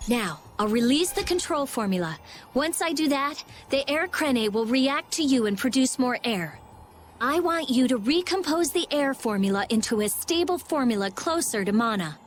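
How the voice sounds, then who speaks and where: Casting: bossy anime game girl mage, high voice, very animated, emphatic and blunt. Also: moderate pace, slight background noise. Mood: focused, explaining. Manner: sharp-tongued, emphatic. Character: brusque, bossy teenage girl mage and researcher.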